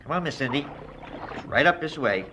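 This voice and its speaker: A man's voice: a little high, animated, normal speed, noisy background, lightly acted. A man playing a polite, reluctant kidnapping henchman in a 1970s radio drama, folksy and uneasy. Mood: apologetic.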